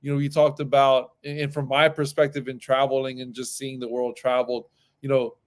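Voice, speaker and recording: A man's voice: medium pitch, little pitch movement, brisk. A man in a casual discussion show who speaks earnestly, repeats phrases and keeps checking in with "right?". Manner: earnest, repeats himself, many "right?" tags.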